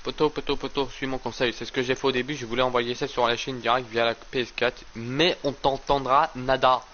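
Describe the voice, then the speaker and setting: Male game streamer, mid pitch, slightly hoarse from a cold, rambling stammering delivery; dull low-fi sound. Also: steady tone, a little fast, a bit bright, slight background noise. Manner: rambling, stammering with repeated words. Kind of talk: casual let's play commentary.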